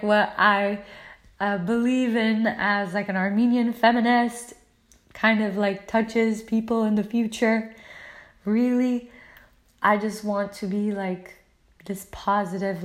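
A woman from the United States hosting a solo podcast, speaking casually and earnestly in loose, affirming phrases. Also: medium pitch. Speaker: podcast host.

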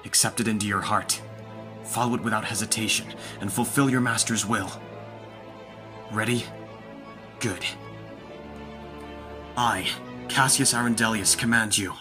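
A low-voiced, lordly young vampire played by a man speaks in formal, measured phrases, imperious yet doting toward his servant. It is an audio roleplay with clear music playing behind the voice. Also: fairly even intonation, close to an everyday voice. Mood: commanding, intense. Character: aristocratic young male vampire master, commanding yet doting. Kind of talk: ASMR audio roleplay acting. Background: background music.

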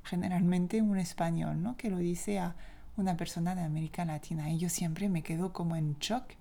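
French-accented woman, mellow low voice. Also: steady tone, gravelly. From France. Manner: reflective, opinionated, measured. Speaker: language teacher. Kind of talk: solo podcast monologue.

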